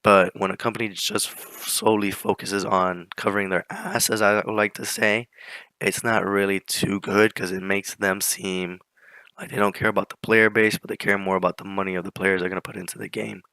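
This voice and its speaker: A teenage boy giving a casual class presentation, hoarse from a flu, with many fillers and frequent throat clearing. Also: on the low side, flat delivery, fairly quick, sharp tone, dry recording. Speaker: student presenter.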